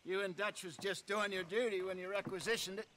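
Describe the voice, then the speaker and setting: Man playing a sly old high-voiced con man. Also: steady tone, a little fast, background noise, close to an everyday voice. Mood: genial, scheming. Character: genteel sly old outlaw con man, genial schemer. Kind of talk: video game cutscene acting.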